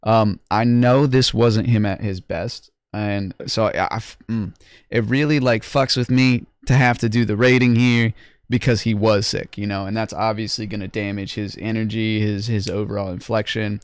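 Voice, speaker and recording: A man's voice: lowish voice, fairly even intonation, normal speed, clean tone, no echo, studio quality. A young man hosting a livestream, casually rating music contest entries in loose, slangy talk full of restarts. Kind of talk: casual livestream review chat.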